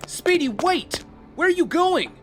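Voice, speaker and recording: Earnest, troubled big brother played by a man, urgent delivery with big swings, audio drama over clear music. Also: very high voice, sing-song, unhurried, exaggerated voice. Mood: tense, pleading.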